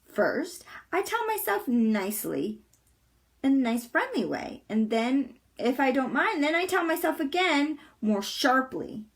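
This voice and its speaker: A woman's voice: sits high, big pitch swings, measured pace, pitched above the performer's own voice, full character voice. A woman voicing a cheerful, bold, eccentric young girl from a storybook, boastful and playfully emphatic. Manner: playful, emphatic, matter-of-fact tall-tale telling.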